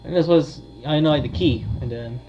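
A man giving casual, hesitant gameplay commentary with frequent pauses. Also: a little high, warm tone. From United States. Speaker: gamer, video creator. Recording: dull lo-fi mic, faint noise.

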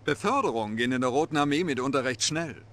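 Man acting a forceful Soviet general. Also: medium pitch, lively intonation, lightly acted. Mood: formal, commanding. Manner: commanding, formal, measured. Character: stern, authoritative Soviet general. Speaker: actor in game cutscenes. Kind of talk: dramatic military briefing.

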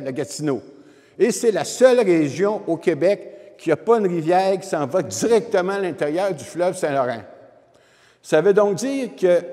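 A man's voice: fairly high pitch, a little mellow. A man in his seventies with a Quebec French accent gives an informal, explanatory history lecture to an audience through a handheld microphone. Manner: explanatory, addresses the audience directly. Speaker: historian giving a public lecture. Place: indoor lecture room with wood panelling. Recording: reverberant hall sound.

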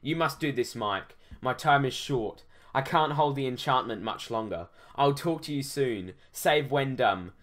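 A man acts out an ancient ghostly sage from a fantasy storybook. He is solemn and commanding, speaking in a slow, portentous way as if handing down an urgent quest. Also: medium pitch, expressive, a bit bright.

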